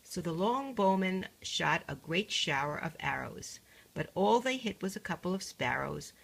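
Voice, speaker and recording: An older woman reading a rhyming picture book aloud like a grandmother, measured and singsong. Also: lowish voice, animated, creaky, slight background noise, poor audio.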